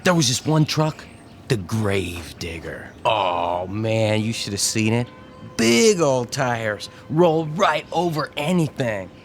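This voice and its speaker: A man's voice: medium pitch, sing-song, takes it slowly, soft background music. An actor playing an easygoing worker in his twenties, slangy and teasing, in a radio drama. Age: 20s. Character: easygoing twenty-two-year-old male worker, cheeky and slangy.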